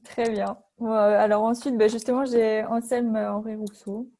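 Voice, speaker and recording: A woman's voice: fairly high pitch, little pitch movement, normal speed, dark tone. A woman casually hosting an online meetup over a video call.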